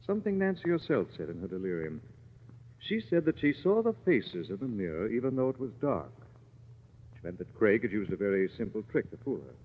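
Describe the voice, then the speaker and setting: An actor playing an assured gentleman detective who explains patiently, in 1940s radio drama style. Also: on the low side, sing-song, brisk, narrow, tinny audio. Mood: calm, explaining. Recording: thin, band-limited old radio recording.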